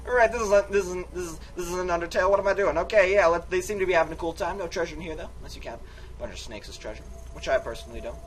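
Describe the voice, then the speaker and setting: A man's voice: high-pitched, rapid-fire. A man doing a playful dramatic reading of a text game for viewers, rambling and interrupting himself with goofy, theatrical asides. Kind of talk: playful dramatic reading with sung bits and ad-libbed commentary. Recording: music behind the voice. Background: music.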